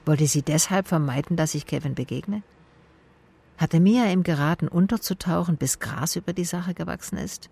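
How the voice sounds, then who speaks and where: A woman's voice: lowish voice, moderate pace, gravelly, warm tone, slightly rough. A woman playing a tough private detective, narrating and talking in a dry, laconic, sardonic way in a radio drama. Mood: brooding, sardonic. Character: wry, tough female private detective narrating her case. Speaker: narrator and lead actor.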